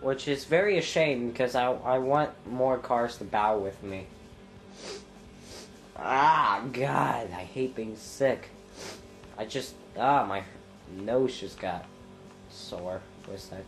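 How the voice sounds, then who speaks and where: Stuffed-up young guy rambling over a racing game, slow and drawn out, ums everywhere, faint music behind. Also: mid-range voice. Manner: rambling, thinking aloud with many ums, sudden loud exclamations. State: stuffy nose, congested throat. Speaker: gameplay commentator. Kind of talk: casual let's-play commentary.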